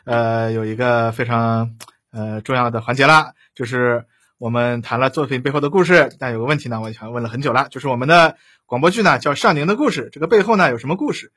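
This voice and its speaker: A man hosting a live broadcast chat, halting and repetitive, with many fillers. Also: mid-range voice, fairly bright tone, slightly rough. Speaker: host.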